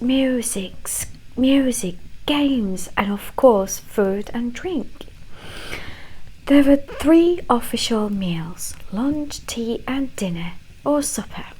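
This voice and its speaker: A woman with a mixed British and Canadian accent whispering a story in a gentle, hushed ASMR storytelling style. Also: on the high side, a little hiss or hum, rough recording. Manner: gentle, hushed, unhurried delivery.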